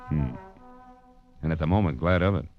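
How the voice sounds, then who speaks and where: An American actor playing a wry, world-weary private detective in a 1940s radio noir drama, musing in hardboiled style. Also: male voice, extremely low pitch, moderate pace, phone-quality sound, loud music behind the voice. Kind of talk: 1940s radio noir acting and first-person narration. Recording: vintage radio drama recording.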